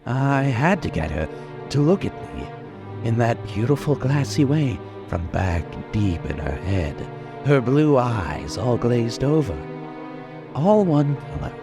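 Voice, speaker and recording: An actor playing a brooding, menacing male killer in old-time radio suspense style, intense, halting and obsessive. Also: medium pitch, very animated, vocal fry, music in the background, full character voice. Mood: obsessed, menacing.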